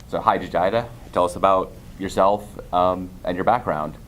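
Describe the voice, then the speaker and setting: A man with a very low, deep voice interviews a guest at a conference. His questions are relaxed and easygoing, and he affirms answers often. Faint background noise sits under the recording. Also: moderate pace. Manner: relaxed, affirming, frequent backchannel. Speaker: interviewer, series host.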